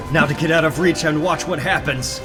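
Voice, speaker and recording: Guy doing a young comic superhero, earnest n urgent, talking himself thru it, loud music under. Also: fairly high pitch, unhurried, natural voice. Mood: desperate. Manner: dramatic, thinking aloud, urgent. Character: earnest, determined young male superhero narrating his own fight. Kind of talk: comic book dramatic reading.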